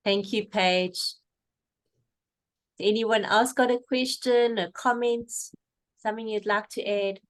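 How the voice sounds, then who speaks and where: A woman's voice: mid-range voice, steady tone, takes it slowly, pauses often. A woman hosting a group call, courteous and inviting as she moderates. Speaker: host moderating a donor call.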